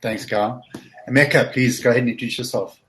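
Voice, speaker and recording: A man in his fifties from South Africa runs an online roundtable over a video call. His voice sits at a middle pitch, and he talks in a conversational way, often doubling back on words as he gathers his thoughts. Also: fairly even intonation, quick pace, fairly bright tone, poor audio. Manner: conversational, repeats words while thinking. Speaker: panel moderator. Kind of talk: virtual panel moderating.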